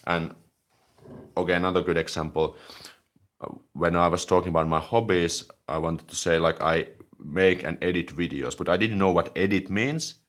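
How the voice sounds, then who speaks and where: A man with a deep, low voice hosts a relaxed live stream about language learning. He reads and answers viewer comments in a chatty, easygoing way, dropping "like" as filler between thoughts. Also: normal speed. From Finland.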